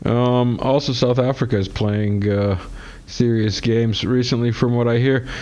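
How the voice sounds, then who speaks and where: A man's voice: medium pitch, steady tone, measured pace, a little mellow. A man hosting a casual talk show, laid-back and hesitant, with frequent fillers and laughter. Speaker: host. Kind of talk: casual interview chat. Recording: slightly dull lo-fi sound.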